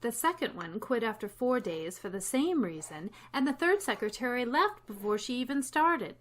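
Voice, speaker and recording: A woman's voice: fairly high pitch, big pitch swings, moderate pace. A woman playing a wry, long-suffering schoolteacher in old-time radio comedy, with a dry, wisecracking delivery. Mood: wry complaint. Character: wry, long-suffering female high school English teacher. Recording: noticeable noise with clicks.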